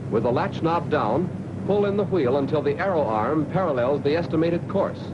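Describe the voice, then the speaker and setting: Man, American accent, 1940s military instructional narration, lively higher-set voice, dull old film sound. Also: heavy background noise. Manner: instructional, direct second-person address.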